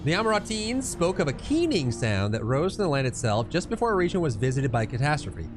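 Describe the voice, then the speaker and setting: A man's voice: on the high side, big pitch swings, fairly quick, music in the background. A man voicing a grave ancient witness in a fantasy game, recounting catastrophe in solemn, archaic storytelling style. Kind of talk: reading fantasy game dialogue aloud.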